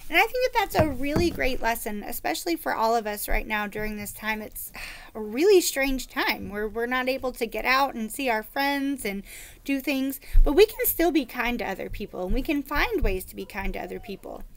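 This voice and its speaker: A woman's voice: on the high side, lively intonation, moderate pace. A woman reading a storybook aloud to children and explaining it plainly. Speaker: read-aloud reader for children.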